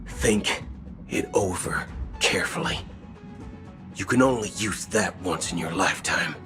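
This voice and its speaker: A man voicing a brash, folksy, excitable video game character with a colloquial, emphatic delivery. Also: low voice, unhurried. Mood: urgent concern. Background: game soundtrack music.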